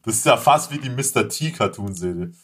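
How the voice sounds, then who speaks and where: A man's voice: on the low side, animated, fairly quick, fairly bright tone, breathy edge. A man chatting casually as a podcast panelist, enthusiastic and slangy. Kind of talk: casual chat.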